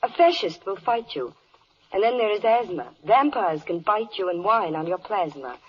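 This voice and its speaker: A woman's voice: mid-range voice, expressive, normal speed, husky. An actress playing a charming, eloquent queen who recites rhymed verse with poise in a 1940s radio play. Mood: wry, lamenting. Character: charming, eloquent queen speaking for the animal kingdom. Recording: muffled vintage 1940s radio recording.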